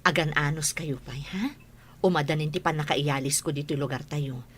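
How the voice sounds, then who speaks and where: A woman playing a gentle mother and teacher in a radio drama, speaking in an encouraging way. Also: sits low, steady tone, moderate pace, breathy edge.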